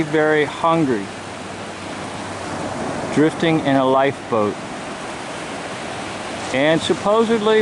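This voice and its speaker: Slow deliberate delivery, medium pitch, preachy vlogger monologue, short emphatic phrases, outdoor seashore, handheld camera mic, very loud wave noise. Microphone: handheld camera microphone. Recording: loud surf and wind-like noise. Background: ocean waves.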